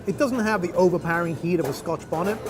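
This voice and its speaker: A man's voice: sits high, quick pace, music in the background. A man hosting a food show, describing dishes as he tastes them with enthusiasm. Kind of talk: food tasting commentary.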